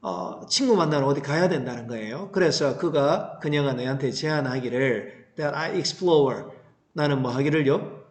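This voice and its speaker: Male teacher, slightly high voice, didactic, rhetorical questions, step-by-step explanation, roomy echoing recording. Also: measured pace. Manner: didactic, asks rhetorical questions and answers them. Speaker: English teacher. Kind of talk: reading-comprehension lesson, explaining line by line to students.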